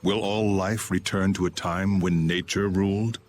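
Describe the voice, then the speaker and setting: Deep, aloof, solemn antagonist. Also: male voice, steady tone, measured pace, soft background music. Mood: stern. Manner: grave, formal. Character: cold, aloof, solemn male antagonist scornful of humans. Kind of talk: English video game voice acting.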